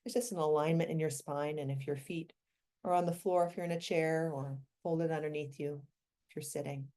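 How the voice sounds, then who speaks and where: A woman guides a meditation in a low-pitched voice with a dark, relaxed tone. She speaks gently and without hurry, leaving long pauses between short phrases.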